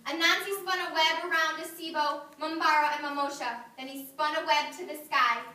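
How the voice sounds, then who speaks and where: A woman kindergarten teacher reading a story aloud to her class, animated, praising and asking the children questions. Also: high voice, a little fast. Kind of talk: read-aloud to young children. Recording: echoey classroom, mic far off.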